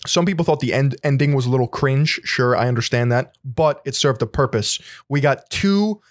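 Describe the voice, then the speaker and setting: A man's voice: mid-range voice, normal speed, no room sound. A laid-back man with a mid-range voice co-hosts a podcast, explaining things at length and often restarting his words. The recording is dry and close-miked. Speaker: podcast co-host. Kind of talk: casual podcast conversation.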